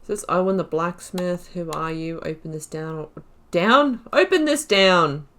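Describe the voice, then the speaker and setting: Female let's play host, pitch a bit low, informal running commentary mixed with read-aloud dialogue options. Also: a little slow, a bit bright. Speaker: gaming video host.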